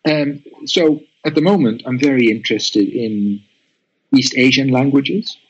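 A man's voice: medium pitch, unhurried, slightly rough, dull audio. A man whose first language is Dutch, a guest researcher answering interview questions thoughtfully, with many restarts and tag questions.

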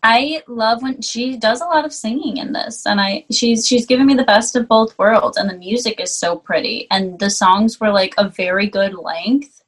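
A woman co-hosting a casual music chat, relaxed and often answering in short replies. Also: fairly high pitch, normal speed. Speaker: co-host reviewing music.

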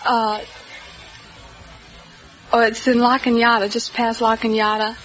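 A woman's voice: on the high side, level tone, a little slow, loud music behind the voice. An eighteen-year-old woman giving hesitant, unscripted answers as a quiz show contestant. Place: broadcast studio with live audience. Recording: old radio recording, muffled, very noisy, audience.

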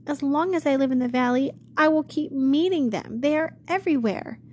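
A woman's voice: sits high, normal speed, dull audio, a little hiss or hum, pitched above the performer's own voice, close to an everyday voice. A woman voicing a timid young crippled woman who is fearful and pleading, in a storybook reading. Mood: despairing. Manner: anxious, tearful pleading.

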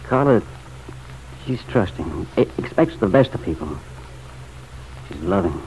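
An actor playing a torn, stubborn farmer in a vintage radio drama, plain-spoken, hesitant and guarded. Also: male voice, low-pitched, sing-song, a little slow, darkish tone. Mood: wistful. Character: torn, stubborn farmer still pining for his wife. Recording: dull, noisy old radio recording.

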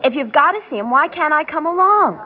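High-voiced chatty young gal, tinny recording. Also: female voice, expressive. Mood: complaining, gossipy. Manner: gossipy, brisk, matter-of-fact. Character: chatty, pushy young office girlfriend. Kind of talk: classic radio drama acting. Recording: tinny vintage radio recording.

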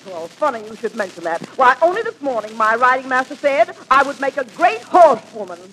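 A woman's voice: sing-song, a little fast, poor audio. A woman playing a brash, indignant, insulting wife in 1940s radio comedy. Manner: shrill, loud, indignant. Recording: old radio broadcast, faint noise.

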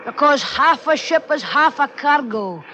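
An actor playing a sweet-talking, scheming sailor with a lilt and a coaxing, sly manner in old radio drama style. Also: male voice, very high-pitched, expressive, measured pace, pushed and bright, lo-fi sound, heavily acted. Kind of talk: 1950s American radio drama acting. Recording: old radio recording with noticeable noise.

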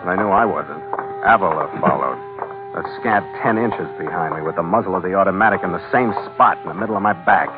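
A man's voice: low-pitched, fast talker, natural voice. An actor playing a tough, wry American sea captain, narrating his adventure tersely in a vintage radio drama. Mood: suspenseful. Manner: terse, wry, hardboiled storytelling. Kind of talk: 1940s-50s radio adventure narration and acting. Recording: tinny vintage radio recording with music.

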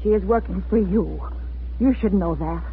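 A woman's voice: mid-range voice, moderate pace, lightly acted. Earnest wartime mother, acted by a woman, tinny radio. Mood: defiant. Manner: earnest, dramatic. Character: anxious, protective, defiant wife and mother under occupation. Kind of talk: 1940s radio drama acting. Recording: scratchy, phone-like 1940s radio recording.

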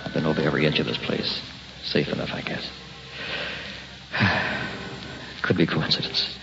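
Deep-voiced brooding investigator. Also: male voice, steady tone, measured pace, vocal fry, noisy background, heavily acted. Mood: weary, musing. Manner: terse, musing in clipped phrases. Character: determined, brooding male investigator, father of a family. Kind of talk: old-time radio drama acting. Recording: muffled, roomy old radio recording with music.

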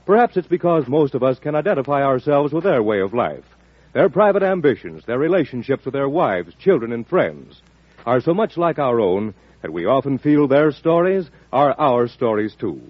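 An American man announces in classic radio style. His mid-pitched voice is lively and a little rough-edged. The vintage recording is dull and dry. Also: normal speed, dry recording. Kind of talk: old-time radio scripted announcing.

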